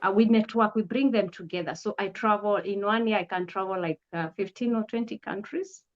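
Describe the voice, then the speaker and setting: A woman in her forties, a pastor, speaking earnestly and gratefully in an interview, pausing often on "uh". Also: mid-range voice. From Kenya. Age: 40s. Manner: earnest, grateful, measured with frequent "uh" pauses. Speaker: pastor and guest. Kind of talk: testimony interview. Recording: dull lo-fi audio.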